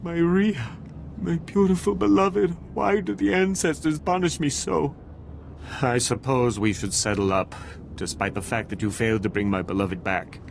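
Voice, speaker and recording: A man's voice: fairly high pitch, normal speed, gravelly. A man voicing a mournful, lovesick alien in a video game, plaintive and theatrical. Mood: heartbroken. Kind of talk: dramatic video game dialogue. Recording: noisy ambience behind.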